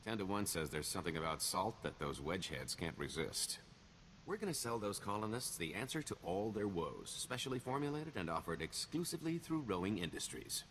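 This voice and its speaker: An actor playing a smooth-talking, self-assured con man in a video game, with wry, wheedling salesman patter. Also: low-pitched, very animated, fairly quick, fairly bright tone, slight background noise, heavily acted. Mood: sly, scheming. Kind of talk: video game dialogue acting.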